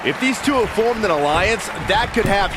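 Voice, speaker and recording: High-voiced earnest wrestling commentator. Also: male voice, expressive, moderate pace. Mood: impressed. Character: earnest male wrestling broadcast analyst. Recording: loud crowd and arena noise. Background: loud arena crowd.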